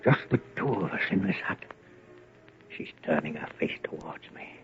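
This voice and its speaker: An actor in an old-time radio drama playing an obsessed, lovesick young man who mutters haltingly and breathily. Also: very deep voice, expressive, faint music behind. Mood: feverish longing. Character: obsessed, lovesick young man murmuring over a sleeping girl. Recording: dull, muffled old radio recording.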